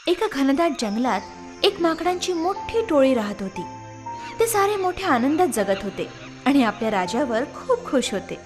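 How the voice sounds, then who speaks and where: A woman's voice: sits high, very animated, normal speed, faint music behind. A woman narrating a scripted moral story for children.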